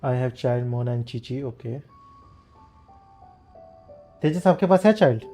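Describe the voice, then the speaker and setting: An Indian-accented man chats casually on a game livestream. He talks extremely fast in a mellow voice, with faint music behind him. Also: mid-range voice. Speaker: game streamer. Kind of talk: casual livestream chat with viewers.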